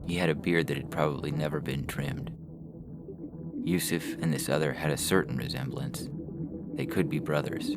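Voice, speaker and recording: A man's voice: rumbling low, gravelly. A man reading scripted fiction as the narrator of a story. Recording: music bed under the voice. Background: music.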